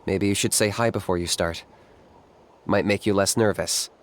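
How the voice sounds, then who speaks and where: A man voicing a cool, businesslike young warrior guide in a video game, matter-of-fact and measured. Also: low-pitched, steady tone, a little hiss or hum, lightly acted. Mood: instructive. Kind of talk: video game character dialogue.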